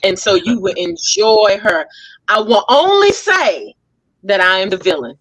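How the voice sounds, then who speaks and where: A woman hosting a lively, casual group chat, teasing and exclamatory as she talks. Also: mid-range voice, unhurried, no echo, rough recording. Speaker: talk show host and stage play actress.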